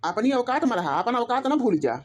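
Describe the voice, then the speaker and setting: A woman plays a spoiled, tyrannical young man in a cartoon comedy. The voice is fairly high and swings widely in pitch. He scolds haughtily in an Awadhi accent. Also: big pitch swings, brisk. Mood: furious, haughty. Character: arrogant, tyrannical spoiled younger brother-in-law, adult man.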